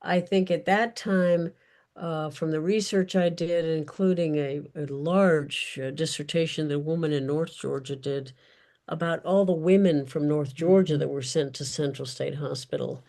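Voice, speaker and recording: A woman's voice: deep voice, fairly even intonation, moderate pace, warm tone. A woman author answering audience questions in an unscripted discussion, speaking carefully and often searching for her words. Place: virtual event.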